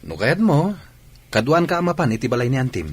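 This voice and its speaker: A man playing an eager, nosy young man with an Ilocano accent in a radio drama, animated and persuasive. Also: medium pitch, fairly quick, husky, no echo. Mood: nudging.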